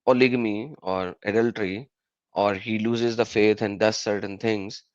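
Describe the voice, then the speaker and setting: A man whose first language is Urdu, hosting a live call-in stream in casual English. He explains with many fillers and an animated delivery. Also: lowish voice, normal speed, no room sound, lo-fi sound.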